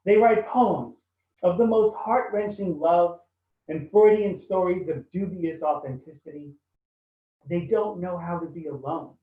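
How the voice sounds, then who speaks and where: Man reading poems over tinny video call. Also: fairly high pitch. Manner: quick spoken asides, then measured reading. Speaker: poet reading. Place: home, kitchen or similar room. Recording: echoey tinny call audio from far off.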